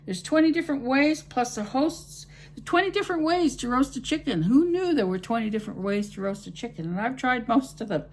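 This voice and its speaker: A woman's voice: on the high side, lively intonation, brisk, a little mellow. A middle-aged woman with a Canadian accent, hosting a home cooking channel and chatting to camera in a rambling, friendly way. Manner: rambling, friendly, with fillers and restarts. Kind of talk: chatty vlog talk to camera.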